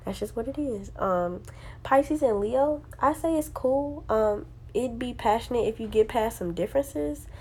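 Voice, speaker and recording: A woman's voice: a little high, measured pace, dark tone, husky, rough recording. A young woman who sounds congested from a sinus infection, chatting casually to her viewers with lots of slang and "um" and "like". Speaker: astrology video host. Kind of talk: casual talk to camera.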